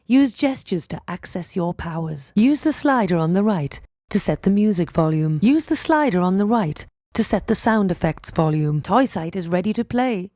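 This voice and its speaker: A woman with a medium-pitched voice delivers scripted game instructions. She enunciates clearly, in the manner of a tutorial narrator. The recording is dry, with no room sound on the voice. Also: animated, moderate pace, hardly any pauses, dark tone, no room sound.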